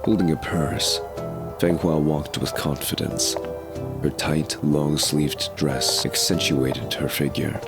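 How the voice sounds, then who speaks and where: A man narrates a scripted audio drama in an extremely deep voice. Music is clearly audible behind his storytelling.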